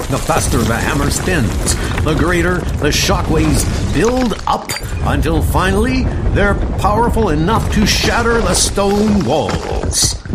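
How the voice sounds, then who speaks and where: A man's voice: on the high side, noisy background, pitched above the performer's own voice. A man voicing a bold male thunder god hero, reading heroic lines in a proclaiming manner.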